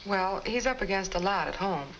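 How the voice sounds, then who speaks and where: A woman's voice: mid-range voice, expressive, moderate pace, a bit bright. A woman playing a composed, courteous clinic caseworker in a 1950 educational film, with polite professional delivery. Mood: businesslike. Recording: noisy, hissy vintage film soundtrack.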